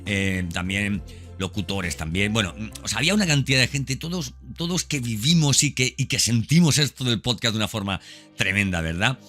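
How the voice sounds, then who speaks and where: Deep, bright-voiced Spanish man podcasting. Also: brisk, soft background music. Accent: Spanish, from Spain. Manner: conversational and enthusiastic, repeats words, seeks agreement with tag questions. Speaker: podcast host. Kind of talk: casual spoken podcast monologue.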